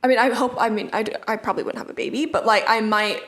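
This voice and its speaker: A woman in her twenties co-hosting a podcast, gently reassuring and leaning on casual fillers. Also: a little high, fast. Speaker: podcast co-host. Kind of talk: casual advice chat.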